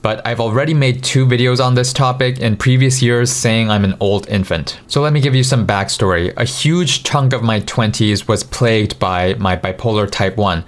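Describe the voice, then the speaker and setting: A man in his thirties talking frankly and self-mockingly to camera in a small room at night, into a mic on a boom. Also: normal speed, hardly any pauses. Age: 30s. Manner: frank, self-deprecating, conversational. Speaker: vlogger. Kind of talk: candid confessional monologue. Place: dim small bedroom at night. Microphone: studio mic on boom in front.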